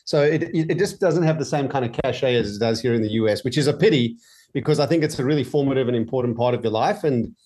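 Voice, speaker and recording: A man in his forties whose accent blends South African and Australian, speaking at an average pitch. He talks in a relaxed, meandering way, full of fillers and restarts, over a video call from his home office. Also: fast, a little hiss or hum. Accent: mixed South African and Australian. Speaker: guest entrepreneur. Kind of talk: casual interview chat.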